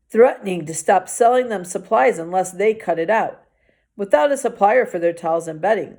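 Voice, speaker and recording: Lady teacher doing an expressive storybook read-aloud, bit of vocal fry. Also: mid-range voice. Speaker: teacher reading aloud to a class.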